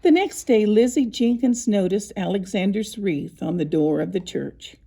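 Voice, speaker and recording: A woman narrating a scripted children's story, with expressive, deliberate phrasing and dramatic pauses. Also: medium pitch, creaky, breathy edge. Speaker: storybook reader.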